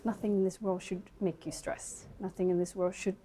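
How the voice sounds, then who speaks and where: A woman in her twenties, raised in Sweden, who works as a dentist. She speaks softly and reflectively in a documentary interview, with frequent fillers, at her dental practice. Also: fairly low pitch, little pitch movement, fairly quick. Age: 20s.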